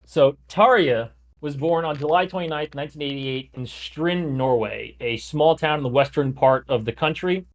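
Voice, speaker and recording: A man's voice: on the high side, moderate pace, hardly any pauses. A man hosting a podcast, casually walking his co-hosts through a story he researched, with plenty of loose "like" fillers. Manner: loose, chatty, frequent "like" fillers. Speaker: podcast host.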